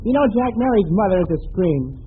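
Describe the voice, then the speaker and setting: A man's voice: high-pitched, very animated, brisk. A man bantering as a cast member in a 1930s radio comedy, on an old broadcast. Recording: narrow-band noisy old radio broadcast.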